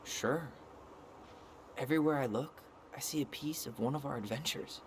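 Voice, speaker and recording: Actor as young american guy in a game, sentimental, earnest, medium pitch, noisy audio. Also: moderate pace, close to an everyday voice. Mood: wistful. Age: young adult. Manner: casual, earnest. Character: gentle, sentimental young man, thoughtful sibling. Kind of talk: naturalistic video game cutscene acting. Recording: noisy game stream capture with ambience.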